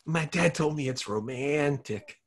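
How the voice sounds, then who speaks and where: A man's voice: on the high side, measured pace. A man playing an innocent, lovesick kindergarten boy in a comedy table read. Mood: shy, hopeful.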